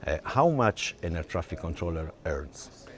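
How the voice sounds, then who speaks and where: A man working as an interviewer, putting questions in halting, non-native English. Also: low-pitched, very animated.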